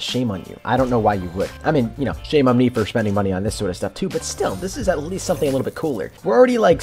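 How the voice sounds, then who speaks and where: Fast-talking hyped young man. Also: mid-range voice. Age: young adult. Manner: hyped, chatty, bursts of excitement. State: slightly raspy voice after yelling. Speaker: gaming YouTuber. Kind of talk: excited gameplay commentary. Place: bedroom.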